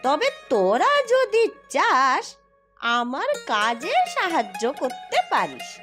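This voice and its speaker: A woman playing a high-voiced crabby old village granny. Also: sing-song, slow, music in the background, full character voice. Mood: grudging. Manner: scolding, suspicious, grudging, drawling. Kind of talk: Bengali fairy-tale cartoon voice acting.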